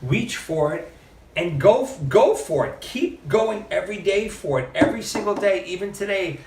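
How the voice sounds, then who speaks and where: A middle-aged man, a podcast guest, telling stories in a casual, animated way and acting out what other people said. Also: high voice, normal speed. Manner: animated storyteller, quotes others with lively shifts. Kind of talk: casual storytelling interview. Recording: roomy, mic at a distance.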